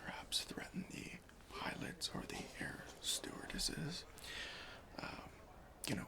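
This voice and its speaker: A man performs an ASMR roleplay in a breathy, crackly whisper. He plays a lenient, deadpan airport security officer, speaking very slowly and deliberately, with pauses and widely swinging intonation. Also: mid-range voice. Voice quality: breathy whisper with some fry. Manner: slow, hushed, deliberate, with pauses.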